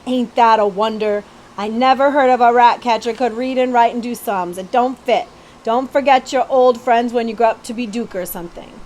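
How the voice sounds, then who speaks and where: A squeaky, high-voiced street boy, played by a woman reading a storybook. He is cheeky and boastful, talking in rough slang with excited exclamations. There is faint noise behind the recording. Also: normal speed, close to an everyday voice. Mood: impressed. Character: cheeky, boastful lower-class tall boy rat-fighter.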